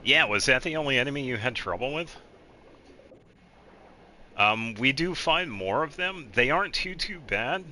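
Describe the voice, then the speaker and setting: A man giving casual video game commentary as he guides another player, explaining things in a halting, meandering way. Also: mid-range voice, lively intonation, sharp tone, slight background noise.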